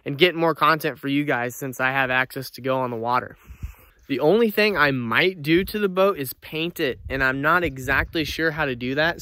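Bright-voiced young man vlogging outdoors. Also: medium pitch, clear, resonant tone, no echo. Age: young adult. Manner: excited, grateful, rambling. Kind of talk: casual selfie vlog. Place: outdoors in open country, then in a truck. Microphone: phone at arm's length.

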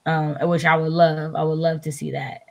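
Woman on a book chat call, lots of vocal fry, kinda low, informal, repeats herself. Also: level tone, moderate pace, darkish tone. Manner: informal, repeats herself, asks rhetorical questions. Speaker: book discussion panelist. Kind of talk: casual group book chat. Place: indoor room, video call. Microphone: video call.

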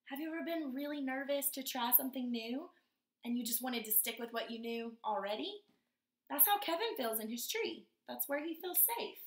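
A woman's voice: high-pitched, moderate pace, reverberant, slight background noise. A young woman reading a picture book aloud to children, stopping to ask them questions. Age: young adult. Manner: animated, asks children questions between pages. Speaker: YMCA storytime reader. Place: room in a house, indoors.

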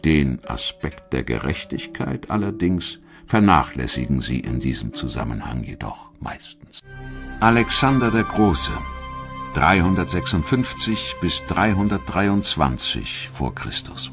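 Very deep-voiced male documentary narrator. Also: sing-song, a little slow, over music. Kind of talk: scripted audiobook documentary narration.

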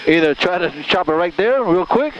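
A man's voice: high voice, animated, moderate pace, highs cut off. A middle-aged man working as a pilot, talking in short, repeated phrases through checklist steps and radio calls over an aviation headset. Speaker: pilot doing an aircraft checkout. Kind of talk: cockpit talk and radio calls. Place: small airplane cockpit in flight. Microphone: aviation headset boom mic. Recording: noisy aviation headset with engine drone. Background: engine noise, radio.

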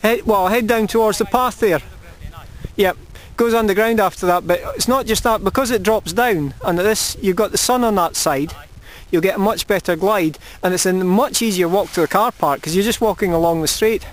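A man with a fairly high voice talks casually on an open hilltop, explaining the route down and calling out his checks. Faint noise from outside sits under his voice. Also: moderate pace, poor audio. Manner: talks through steps to himself. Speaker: paraglider pilot. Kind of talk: casual outdoor advice and launch checklist.

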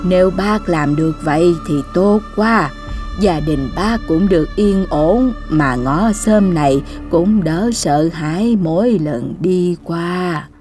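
Slow, low-voiced elderly neighbour. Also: female voice, darkish tone, faint music behind. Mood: grave. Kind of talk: animated drama dubbing.

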